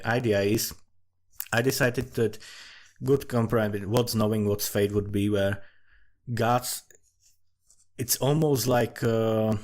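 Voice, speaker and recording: A man with a Central European accent giving a casual, rambling, sweary monologue that drifts from one thought to another. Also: lowish voice. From Central Europe, small country. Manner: informal, sweary, digressive. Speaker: amateur fantasy writer recording commentary.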